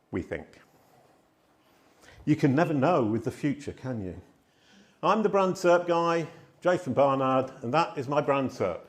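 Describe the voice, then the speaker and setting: Loud, animated man giving a talk. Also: a little high. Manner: loud, animated, self-deprecating storytelling. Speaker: conference speaker. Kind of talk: live conference talk. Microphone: handheld microphone.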